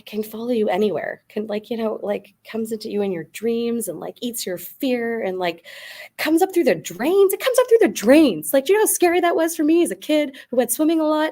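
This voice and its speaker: A woman's voice: a little high, darkish tone. A woman guest in a casual podcast debate, rambling with lots of "like" fillers as she argues her point. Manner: rambling, heavy use of "like" fillers.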